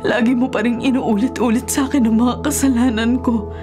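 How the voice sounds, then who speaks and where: A woman's voice: on the high side, steady tone, a little fast, music in the background, close to an everyday voice. A woman acting in a Filipino radio drama as a remorseful, humble middle-aged mother with a pleading, sorrowful manner.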